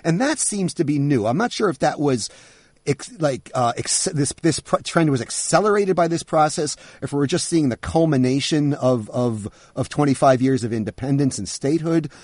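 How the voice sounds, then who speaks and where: Middle-aged male interviewer, husky, animated pitch, dry close sound. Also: normal speed, no room sound. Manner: thoughtful, restarts phrases. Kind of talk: conversational interview.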